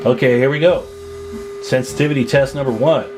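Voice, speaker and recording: A man's voice: medium pitch, fairly even intonation, fairly quick, over loud music, low-quality recording. An older man in his sixties, plain-spoken and folksy, giving a casual, rambling hands-on demonstration as the host. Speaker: host, radio repair hobbyist.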